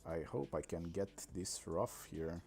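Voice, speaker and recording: A man from Romania, streaming a mobile game in casual, halting commentary full of pauses and fillers, on a desk microphone. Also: low-pitched, steady tone, darkish tone, soft background music.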